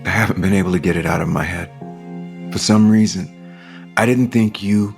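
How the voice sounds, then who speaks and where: Low-voiced, sincere romantic man. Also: vocal fry, over music. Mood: vulnerable, loving. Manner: gentle, sincere, halting. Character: supportive, conflicted man in love with an old flame. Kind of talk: audio soap opera acting.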